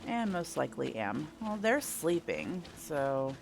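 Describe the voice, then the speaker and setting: A woman's voice: deep voice, sing-song, unhurried, creaky, noisy background. A woman doing casual playthrough commentary, thinking aloud and asking herself questions as she goes. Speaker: gameplay host.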